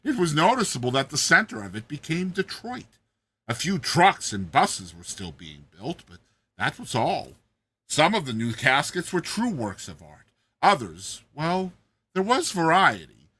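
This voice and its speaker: Guy doing a dramatic audiobook story read, bright punchy voice w a bit of rasp, really theatrical. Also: fairly high pitch, unhurried. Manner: theatrical, expressive delivery. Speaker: story narrator, show host. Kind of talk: dramatized audiobook reading of a classic satirical story.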